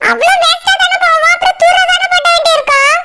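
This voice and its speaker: A feisty cartoon village woman, extremely high and squeaky. She speaks with a Kanyakumari Tamil accent and complains in exclamations. Loud noise runs through the recording. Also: steady tone, fast. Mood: complaining. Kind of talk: comic cartoon dialogue.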